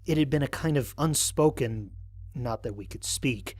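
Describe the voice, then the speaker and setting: Audio drama lead: male actor as a wary, reflective explorer, mid-pitched, measured scripted log narration. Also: darkish tone, lightly acted. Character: thoughtful, wary male space explorer recording mission logs. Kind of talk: scripted first-person mission log narration.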